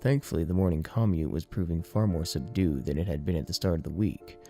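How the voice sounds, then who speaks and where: A man narrates a story in a very low, creaky voice with a dark, mellow tone. It is scripted audiobook reading, with faint music behind him. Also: a little fast.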